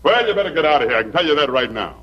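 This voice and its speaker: A man's voice: on the high side, sing-song, racing, crowd noise. An American man playing a suspicious, brusque night guard challenging an intruder in a television drama. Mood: suspicious, threatening. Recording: dull vintage television broadcast.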